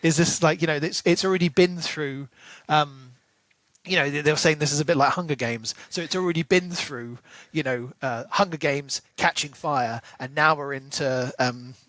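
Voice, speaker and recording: A man with a fairly high voice talks as a podcast co-host in a very dry, close recording. He discusses fiction in a casual, animated way. He often stops and restarts as he works out his thoughts aloud. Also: normal speed, dry recording, low-quality recording.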